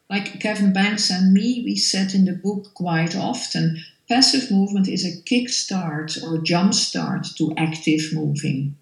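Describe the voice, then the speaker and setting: Interview guest, female, Dutch accent, native Dutch speaker, gravelly creak, explanatory delivery with pauses, roomy echo. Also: lowish voice. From Netherlands. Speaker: physiotherapy lecturer being interviewed. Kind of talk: interview conversation, explaining professional work. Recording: echoey room sound.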